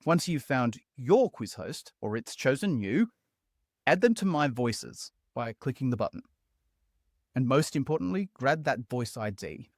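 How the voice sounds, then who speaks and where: A man narrates a building tutorial in an upbeat, chatty voice-over. His pitch moves around a lot. The sound is dry and close, with no room around it. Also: very animated, a little slow, darkish tone, dry recording. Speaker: tutorial host.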